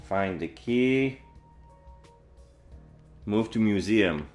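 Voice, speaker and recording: A man with a lowish voice streams a visual novel. He reads the text aloud and comments casually on his play, with faint game music behind him. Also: expressive, extremely slow, a little mellow. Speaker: video game livestreamer.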